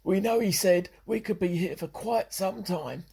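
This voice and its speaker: A performer acting in an amateur radio play as a dotty senior with a husky, rough voice and a deliberate, solemn manner. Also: medium pitch, warm tone. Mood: insistent. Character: dotty senior who speaks as half of a pair.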